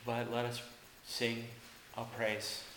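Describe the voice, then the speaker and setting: Preacher, male, slow unhurried delivery, bright ringing tone, echoey sanctuary sound. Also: medium pitch, a little hiss or hum. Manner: leads hymn singing, then preaches with relaxed asides. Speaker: pastor. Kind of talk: church service and sermon. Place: church sanctuary. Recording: echoey church room.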